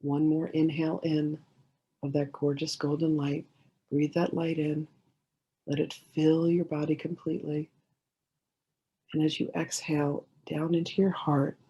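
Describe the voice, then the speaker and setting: A woman leading a guided meditation in a gentle, soothing way, giving slow instructions with many pauses. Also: sits low, slightly rough, low-quality recording.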